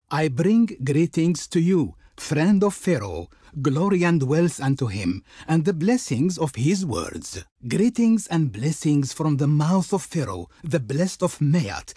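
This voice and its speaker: An actor voicing a haughty ancient Egyptian envoy of the Pharaoh in a video game, speaking in florid, pompous, ceremonious declamation. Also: male voice, on the high side, darkish tone, husky, dry recording, studio quality, heavily acted. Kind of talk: theatrical scripted game diplomacy lines.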